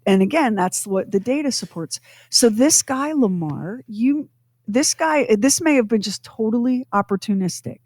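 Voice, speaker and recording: A woman's voice: a little high, expressive, moderate pace, a little mellow, no room sound. Dry studio sound, conversational woman host. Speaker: radio show co-host. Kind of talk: casual conversational podcast talk.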